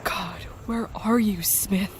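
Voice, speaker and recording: A woman playing an excitable, desperate survivor in a zombie apocalypse fan audio drama, emotional and exclamatory. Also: mid-range voice, slow pace, noisy background, lightly acted. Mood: anxious, urgent.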